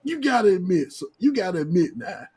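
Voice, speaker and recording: A man's voice: sits high, brisk, breathy edge. An American man hosting a podcast, telling comic stories among friends in a profane, slangy way and acting out what people said. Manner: animated, profane, slangy, acts out quoted exchanges. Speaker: podcast host.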